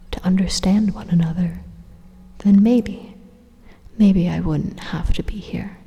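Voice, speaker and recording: Reverberant, breathy evil robot played by a woman, mellow, whispery, deliberate, coldly persuasive, condescending, ASMR roleplay. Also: fairly low pitch, heavily acted. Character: calm, menacing supercomputer robot turned evil, coldly persuasive. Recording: long reverberant echo.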